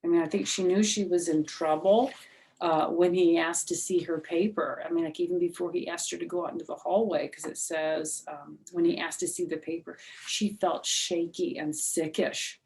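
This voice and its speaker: A woman's voice: lowish voice, steady tone, normal speed, darkish tone, low-quality recording. A woman reading a children's book aloud expressively as a storyteller, with explanatory asides.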